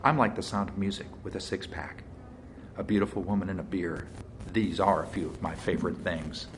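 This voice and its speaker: A man's voice: low-pitched, brisk, a little hiss or hum, lightly acted. A man voicing a flippant young actress with a breezy, teasing manner, as a character in an audiobook. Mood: wry, dismissive.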